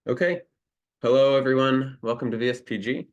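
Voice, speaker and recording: A man's voice: medium pitch, steady tone, brisk, muffled recording. A man hosting an online seminar, reading out a speaker's introduction over a video call with small slips and self-corrections. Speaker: seminar host.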